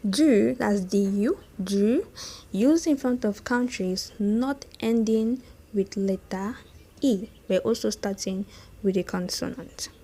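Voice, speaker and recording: A woman teaching a beginner French lesson, saying each phrase slowly and more than once and checking in with "okay?" and "hmm?". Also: mid-range voice, mellow.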